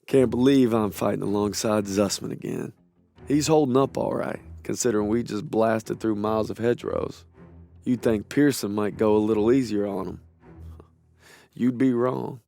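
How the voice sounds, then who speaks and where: A man's voice: fairly low pitch, normal speed, gravelly, warm tone, soft background music. An actor playing a young American soldier who earnestly narrates his memories of war in a video game. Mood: reflective, earnest. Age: young adult.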